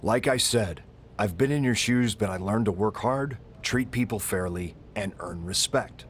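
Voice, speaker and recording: Man playing a stern, low-voiced wrestling boss. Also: a little slow, slight background noise, lightly acted. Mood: firm, dismissive. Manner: firm, dismissive, advising. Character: stern but fatherly male wrestling general manager. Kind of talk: scripted video game cutscene acting.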